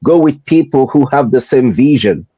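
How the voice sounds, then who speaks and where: A man from Nigeria with a Nigerian accent, hosting a motivational podcast in a deliberate, repetitive rhetorical style. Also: mid-range voice, expressive, dark tone, breathy edge, no echo, poor audio. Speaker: podcast host.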